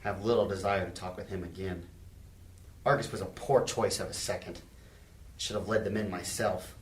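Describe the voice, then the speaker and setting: A man playing a wary, grudging military captain of villains in a fantasy audio drama, gruff and guarded. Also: sits low, steady tone, normal speed, a little hiss or hum, lightly acted. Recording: roomy echo.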